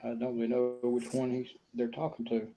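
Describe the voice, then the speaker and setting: A man's voice: mid-range voice, completely flat delivery, brisk, warm tone. A man chatting casually with fellow paranormal investigators, asking short, hesitant questions. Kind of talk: casual group chat. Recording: muffled, noisy line.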